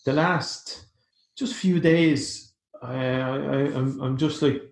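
A man's voice: mid-range voice, takes it slowly, creaky, echoey room. A young adult Irish man co-hosting a podcast in casual chat, laughing easily. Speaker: podcast co-host.

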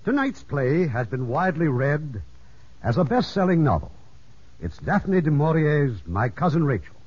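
A muffled vintage radio broadcast presents a male host. His voice is fairly high and husky, with animated intonation. He addresses the audience with formal showmanship. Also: normal speed. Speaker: producer and host. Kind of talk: 1950s radio show introduction.

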